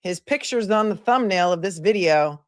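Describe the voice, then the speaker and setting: A woman's voice: mid-range voice, lively intonation, moderate pace. A woman hosting a live stream, commenting on a court hearing with sarcastic, indignant remarks and thanking viewers. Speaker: livestream host and commentator.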